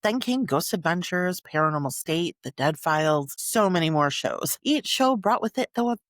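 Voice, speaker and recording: A woman hosting a podcast, reading scripted documentary narration with measured, dramatic phrasing and pauses for emphasis. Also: lowish voice, lively intonation, no room sound, clean studio sound. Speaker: podcast host.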